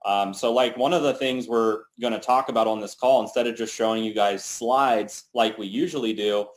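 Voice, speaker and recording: A man's voice: on the low side, steady tone, moderate pace. A man hosting a company team call, talking casually and upbeat, with lots of "you know" and "like".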